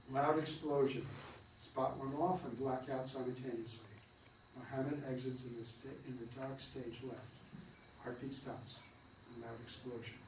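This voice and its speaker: A man reading stage directions aloud in a theatre room, in an even, measured way. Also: medium pitch. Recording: roomy, distant, noisy room recording.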